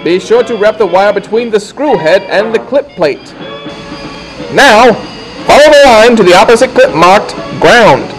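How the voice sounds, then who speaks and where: High-voiced male instructional narrator over music. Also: lively intonation, poor audio. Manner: deliberate, folksy step-by-step delivery. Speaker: instructor narrating a how-to film.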